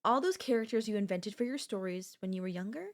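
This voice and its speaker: A woman's voice: mid-range voice, animated, quick pace, close to an everyday voice. A woman playing an obsessive, doting yandere stalker in an ASMR audio roleplay, speaking in a coaxing, theatrical way. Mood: patient, explaining. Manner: theatrical, coaxing, addresses listener as darling. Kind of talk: ASMR audio roleplay, girlfriend-style monologue.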